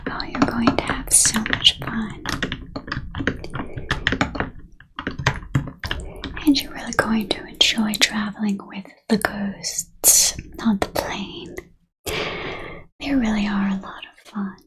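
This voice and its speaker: A woman playing a calm, courteous female ghost from the 1930s working as an airline gate agent in a soft-spoken ASMR role-play, with a breathy, mostly whispered, gentle delivery. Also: mid-range voice, lightly acted, low-quality recording. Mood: reassuring. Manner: gentle, courteous, unhurried customer-service delivery.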